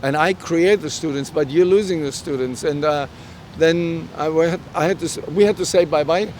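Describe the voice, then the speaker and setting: Middle-aged man, German accent, native German speaker, fairly high voice, earnest halting storytelling, interview guest, outdoor city recording with some traffic noise. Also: darkish tone. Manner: halting, earnest delivery with self-quoted dialogue. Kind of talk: personal storytelling in a conversational interview.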